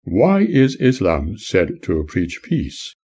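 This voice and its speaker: Husky, low-voiced man reading measured narration. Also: huge pitch swings, darkish tone. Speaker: author reading own book. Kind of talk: scripted narration, audiobook-like reading.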